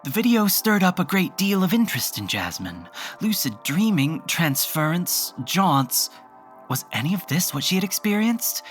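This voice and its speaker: Measured narrator of unclear sex, music underneath. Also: medium pitch, fairly bright tone. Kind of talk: scripted storytelling narration.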